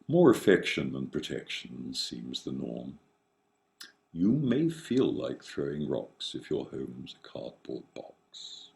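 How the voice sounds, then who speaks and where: A man reciting comic rhyming verse theatrically, in a wry, mock-grand manner with drawn-out dramatic phrasing. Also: extremely low pitch, creaky, darkish tone. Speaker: author reading own satirical verse.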